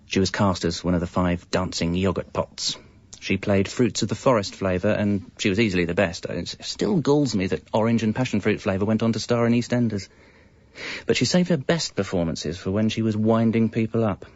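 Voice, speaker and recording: An actor playing a wry, evasive British husband and father in his thirties, confessing in a deadpan, conversational comic narration. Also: on the low side, moderate pace, no echo, natural voice. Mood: confiding, deadpan. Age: 30s. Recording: dull, lo-fi radio audio. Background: music.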